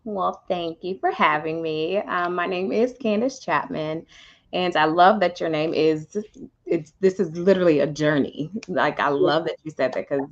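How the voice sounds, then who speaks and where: A woman guest recounts her faith journey in a low voice, thinking aloud with frequent ums and restarts. She is from Houston, Texas. The audio sounds muffled and lo-fi. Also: moderate pace. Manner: reflective, many ums and restarts. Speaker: podcast guest. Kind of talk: personal testimony in conversation.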